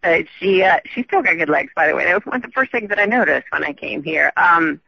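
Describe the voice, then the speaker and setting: A woman from the American South with a softened Southern accent, an entertainment reporter chatting as a guest in a radio interview, upbeat and full of fillers. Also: fairly low pitch, animated, quick pace, gravelly, slightly rough, no room sound, dull audio. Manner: chatty, upbeat, laughs often, many fillers.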